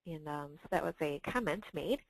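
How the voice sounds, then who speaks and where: A woman hosting a webinar informally, with a hesitant, halting delivery. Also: low-pitched, lively intonation, no room sound, low-quality recording. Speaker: webinar host and moderator.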